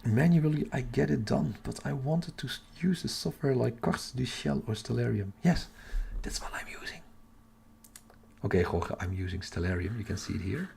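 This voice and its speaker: Hushed, creaky-voiced man livestreaming. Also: normal speed, slight background noise. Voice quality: hushed, half-whispered. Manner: keeping voice low for sleeping household. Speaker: astronomy livestream host. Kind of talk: casual live chat with viewers.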